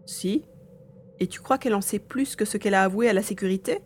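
A woman's voice: medium pitch, brisk, darkish tone, slight background noise, lightly acted. A woman acting a determined young boy adventurer in a French audio drama, with an earnest, dramatic delivery. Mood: pensive.

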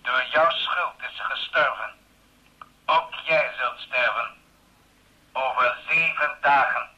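An actor playing a cold, vengeful middle-aged anonymous caller with a flat, colourless delivery in a radio play, heard on a taped phone call. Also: male voice, mid-range voice, slow, a little hiss or hum. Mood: cold threat. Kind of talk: old radio play acting. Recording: tinny taped telephone call.

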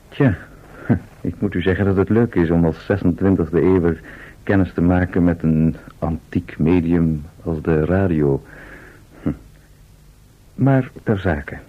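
A man playing an urbane visitor from the future who narrates a radio serial in a measured, genial way. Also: on the low side. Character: urbane man from the twenty-sixth century narrating a recap. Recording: thin, narrow-band old radio recording.

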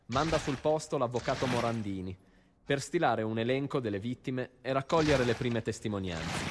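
A man's voice: medium pitch, noisy background. A man gives a dramatic literary reading in a solemn, rhythmic, declamatory way. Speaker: reader in a music and spoken-word show. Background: live guitar band music.

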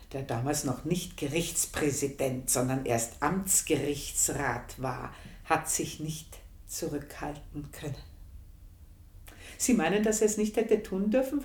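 A woman's voice: on the low side, darkish tone, reverberant. An actress playing an earthy, proud, aging housemaid, confiding her memories in a radio play monologue. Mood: wistful, frank, then mocking. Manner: confiding, unhurried storytelling with pointed pauses. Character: earthy, proud aging housemaid reminiscing about past desires.

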